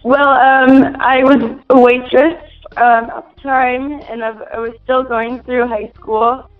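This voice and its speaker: A woman's voice: high-pitched, flat delivery, takes it slowly, phone-quality sound, soft background music. A twenty-year-old woman from Minnesota, answering interview questions in a hesitant way with many fillers and giggles. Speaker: interview guest.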